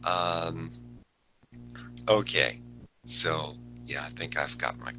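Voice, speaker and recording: A man hosting a live trading webinar, talking casually with many fillers, his voice still a little low after a cold. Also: slow, gravelly, pushed and bright, slight background noise, low-quality recording. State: recovering from a cold, voice a bit lower. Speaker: forex educator hosting a webinar. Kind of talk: live market analysis webinar.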